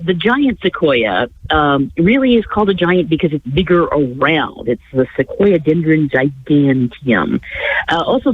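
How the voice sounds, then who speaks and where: A woman co-hosting a radio chat with a stuffy nose from a cold, rambling with halting restarts and frequent chuckles. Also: fairly low pitch, a little hiss or hum. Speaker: radio show co-host. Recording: tinny phone line.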